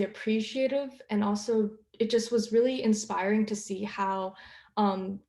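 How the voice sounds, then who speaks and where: A young woman answering panel questions earnestly, pausing often to find her words. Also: mid-range voice, warm tone, reverberant. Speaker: playwright.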